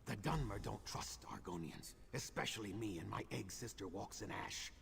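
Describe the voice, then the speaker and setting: A man playing an earnest, courteous lizard-folk healer in a video game, speaking in formal, measured phrases like a wary outcast. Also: fairly low pitch, vocal fry, fairly bright tone, big-room sound, a little hiss or hum. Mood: earnest, guarded. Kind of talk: video game character acting.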